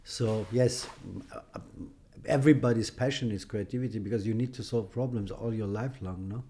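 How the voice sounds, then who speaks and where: A man's voice: lowish voice, normal speed, mellow, slight background noise. A middle-aged man from Iran, English speaker, giving emphatic, opinionated answers as a podcast guest and often ending with a questioning "no?". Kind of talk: podcast interview.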